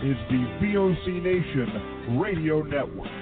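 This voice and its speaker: A man's voice: fairly high pitch, expressive. A man giving a dramatic station ID voice-over. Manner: slow dramatic announcer delivery. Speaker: station announcer. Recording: tinny audio over loud guitar music.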